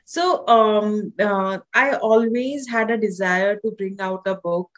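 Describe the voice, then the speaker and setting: A woman from India with an Indian accent, a holistic doctor and author in her thirties, answering interview questions with many pauses and restarts. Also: medium pitch, fairly bright tone. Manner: hesitant, many fillers and restarts. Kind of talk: podcast interview guest.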